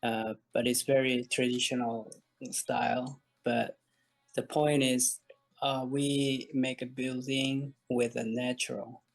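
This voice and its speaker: A man with a Korean accent answers as an interview guest. He talks slowly and casually in a mid-pitched voice, and quiet music runs behind him. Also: level tone, vocal fry, low-quality recording. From South Korea.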